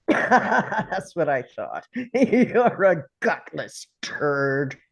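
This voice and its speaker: Female reader as a menacing, sarcastic male principal, contemptuous table-read delivery, unhurried and widely swooping. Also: low voice, big pitch swings, creaky, breathy edge, full character voice. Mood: goading, contemptuous. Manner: taunting, contemptuous, drawn-out goading. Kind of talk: table read of a film script.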